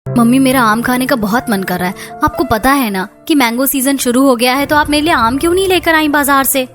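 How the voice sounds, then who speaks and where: A pampered, adoring young daughter in a cartoon story, played by a woman. Her high voice pleads and gushes like a child's, over background music. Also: fairly quick, a bit bright. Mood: pleading.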